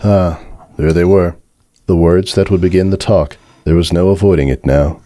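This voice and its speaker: Very deep-voiced man narrating. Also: steady tone, moderate pace, mellow, dry recording. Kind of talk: dramatic scripted story narration.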